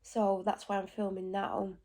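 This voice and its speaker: A woman's voice: medium pitch, monotone, warm tone. Congested woman chatting casually. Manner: rambling, talks in quick asides and self-corrections. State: stuffy and congested with a cold. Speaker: vlogger. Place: at home.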